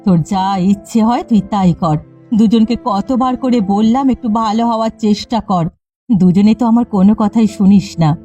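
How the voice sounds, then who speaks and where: A woman's voice: mid-range voice, normal speed, warm tone, close to an everyday voice. A woman voicing an upright eldest village sister in a cartoon, stern and admonishing, weary of her siblings. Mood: exasperated. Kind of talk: cartoon dialogue. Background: faint music.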